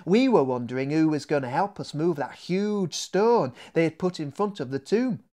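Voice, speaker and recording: A man's voice: on the high side, expressive, normal speed, mellow, voice pushed up, lightly acted. A man voicing an earnest woman telling of a startling discovery in a children's storybook, in a breathless storytelling manner. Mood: earnest, hushed.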